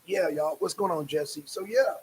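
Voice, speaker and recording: A man's voice: fairly high pitch, moderate pace, breathy edge. A man hosting a casual live stream, speaking in a repetitive, emphatic and exasperated way.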